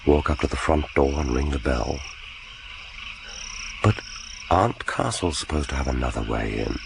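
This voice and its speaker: An actor in a radio drama playing a grim, vengeful spy in brooding, sardonic inner monologue. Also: male voice, extremely low pitch, expressive, normal speed, creaky, exaggerated voice. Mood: wary, sardonic. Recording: noisy radio drama recording.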